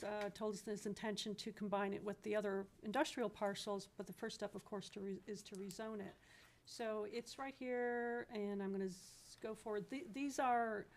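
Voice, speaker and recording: A woman with a flat, even delivery presents a staff report in a public meeting. Her pitch is average, and she explains carefully, with halting self-corrections. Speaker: county planning staff presenter.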